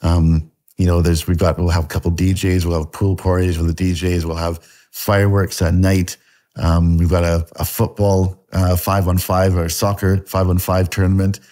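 A low-voiced Canadian man in his fifties, with a faint Scottish lilt, talks on a video call. His tone is dark and mellow, and he rambles loosely through fillers and restarts. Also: level tone, moderate pace. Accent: Canadian with a slight Scottish tinge. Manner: rambling, many fillers and restarts, laughs often. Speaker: guest artist. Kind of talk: casual interview chat. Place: home room at a desk. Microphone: video call webcam.

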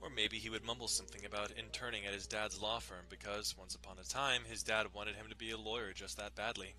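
A man's voice: lowish voice, fairly even intonation, quick pace, bright, forceful tone. A young man giving a dramatic reading of a visual novel, narrating and tossing in casual, irreverent asides, with music behind him. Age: young adult. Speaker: dramatic reader.

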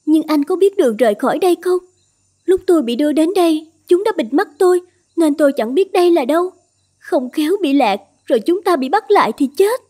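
A woman voicing a gentle, wary young noblewoman held captive in a fairy-tale cartoon, polite and anxious. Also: high-pitched, expressive, fairly quick.

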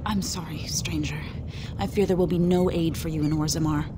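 Brief: actress as a proud, solemn dwarf noblewoman in a fantasy game; lowish voice, measured delivery. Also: steady tone, dark tone, slight background noise, lightly acted. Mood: grave. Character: proud young female dwarf noblewoman, grave. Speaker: game character. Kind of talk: video game voice acting. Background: game music.

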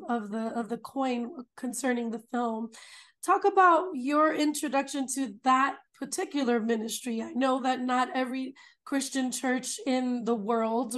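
A woman in her thirties hosting an interview over a video call, speaking in an upbeat, enthusiastic way. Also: a little high. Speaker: host. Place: home room.

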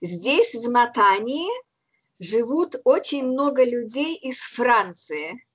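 A woman's voice: on the high side, sing-song, slow pace, fairly bright tone, husky. A woman in casual chat as a conversation partner on a video call, encouraging and repeating or correcting words.

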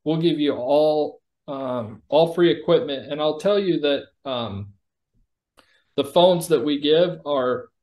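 A man's voice: fairly high pitch, slow, a little mellow, rough recording. A man giving a conversational product demo as a company executive, explaining things informally.

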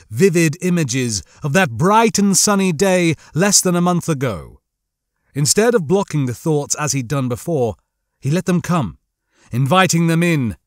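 A man's voice: a little high, no room sound, studio quality. A man reading a story aloud as an audiobook narrator, with dramatic scripted narration.

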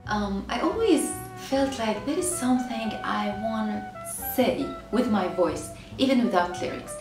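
A woman from Syria in her thirties, speaking English in a hesitant, reflective way, pausing and repeating words as she searches for them. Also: medium pitch, expressive. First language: Arabic. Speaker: singer. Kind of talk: reflective interview answers. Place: bright living room, seated on a sofa. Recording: roomy, distant, music behind.

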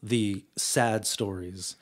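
Energetic man in his late thirties. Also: fairly low pitch, extremely slow. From El Paso, Texas, US. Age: 30s. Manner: energetic, filler-heavy, keeps adding "right?". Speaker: poet, interview guest. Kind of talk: casual interview conversation.

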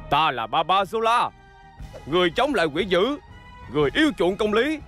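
Pompous, forceful cartoon superhero. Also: male voice, high-pitched, big pitch swings, moderate pace, faint music behind. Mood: heroic, indignant. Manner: declamatory, grandiose. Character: pompous, melodramatic male superhero fighting evil. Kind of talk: cartoon dub acting.

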